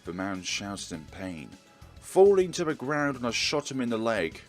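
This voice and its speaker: A man's voice: fairly low pitch, very animated, normal speed. A man hosting a let's play, reading a visual novel aloud with casual commentary. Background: music.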